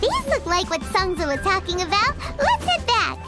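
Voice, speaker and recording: A woman's voice: very high-pitched, wildly swooping pitch, moderate pace, full character voice. A woman voicing a playful, upbeat teenage girl in a video game, with a chirpy, breezy manner. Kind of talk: video game character dialogue. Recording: loud game music behind. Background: game music.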